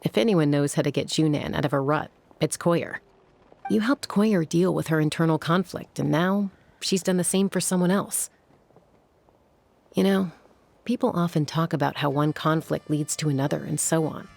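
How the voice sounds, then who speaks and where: Low, creaky, composed sky rider. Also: female voice, a little mellow. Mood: reflective. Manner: measured, assured. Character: cool, composed, frank young female sky rider. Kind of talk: English game dub acting.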